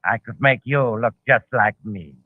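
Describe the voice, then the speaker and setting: A man's voice: medium pitch, a little slow, dry recording, lightly acted. An actor playing a sadistic, blunt male henchman eager to kill, in 1940s radio drama. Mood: sinister menace. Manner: menacing, blunt. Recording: old narrow-band radio recording, dry.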